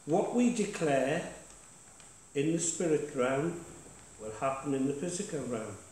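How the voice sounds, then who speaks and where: A man's voice: on the high side, expressive, measured pace, darkish tone, a little hiss or hum. A man leading worship in a church, speaking emphatically and putting questions to the congregation. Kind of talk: sermon exhortation. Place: church sanctuary. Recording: echoey church hall.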